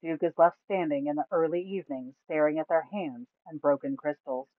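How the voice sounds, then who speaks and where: A woman's voice: low voice, a little fast, dry recording. A woman reading scripted fantasy story narration from a tabletop campaign. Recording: thin, phone-like, dry sound.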